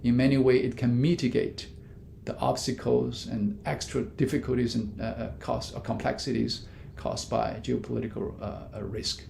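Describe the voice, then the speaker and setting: A man, a business professor, giving measured answers in an interview with frequent fillers and restarts. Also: flat delivery, vocal fry, dark tone. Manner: many fillers and restarts, non-native grammar; measured, explanatory. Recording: echoey room with faint rumble.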